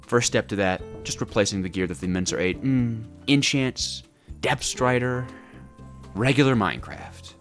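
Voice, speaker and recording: A man's voice: mid-range voice, normal speed, soft background music. A man narrating a scripted gameplay story with dramatic, comically exasperated delivery. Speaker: gaming video creator.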